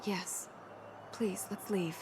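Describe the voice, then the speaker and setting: An actress playing an earnest, melancholy young princess in a video game, speaking in a measured, wistful way. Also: female voice, medium pitch, fairly even intonation, background noise. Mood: sad, subdued. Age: young adult.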